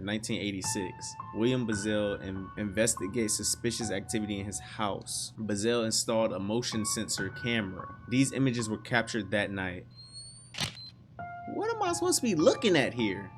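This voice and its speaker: A man's voice: lowish voice, expressive, moderate pace. A man hosting a reaction video, commenting casually in slangy asides and reading captions aloud. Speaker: host reacting to an online horror series. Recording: music playing behind the voice.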